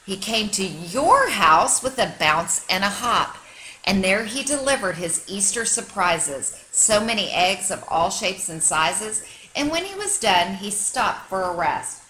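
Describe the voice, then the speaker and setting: A woman reading a children's picture book aloud like a teacher, with expressive, dramatic storytelling for young listeners. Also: medium pitch, a little slow, slight background noise, rough recording.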